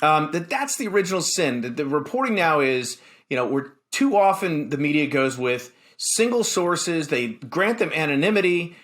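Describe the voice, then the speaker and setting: American male talk host, slightly high voice, halting but emphatic conversational commentary. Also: expressive, fairly bright tone. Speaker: political talk show host.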